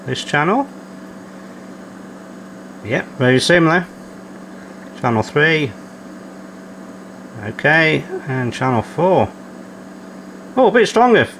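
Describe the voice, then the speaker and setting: Male repair host, higher-pitched and very animated intonation, muttering through each step over a noisy hum. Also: takes it slowly, pauses often. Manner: muttering step-by-step, thinking aloud. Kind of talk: hands-on repair commentary. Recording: clearly noisy, steady hum behind.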